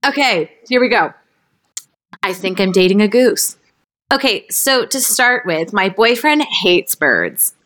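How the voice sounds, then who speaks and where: Lively-pitched woman reading a story aloud. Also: measured pace. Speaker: podcast reader. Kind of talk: conversational reading of a comic story.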